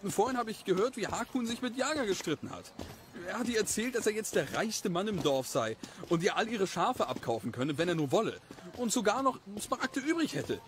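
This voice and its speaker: A man's voice: sits high, sing-song, brisk. A man playing a puzzled, worried young villager with an earnest, questioning manner in an audio drama.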